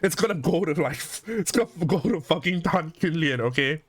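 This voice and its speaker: A man's voice: on the high side, expressive, a little fast, husky. A man from Singapore co-hosting a casual chat, rambling with many restarts and swearing heavily. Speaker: co-host.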